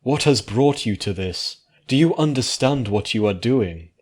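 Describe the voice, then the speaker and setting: A man voicing a gentle, regal female pony princess in a measured, composed way for a story reading. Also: medium pitch, animated. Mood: sad, reproachful. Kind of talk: dramatic fan fiction reading.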